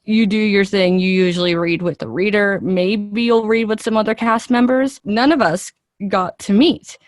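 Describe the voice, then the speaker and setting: A woman's voice: mid-range voice, fairly even intonation, moderate pace, no room sound, studio quality. A woman, an actress, chatting casually and expressively as an interview guest.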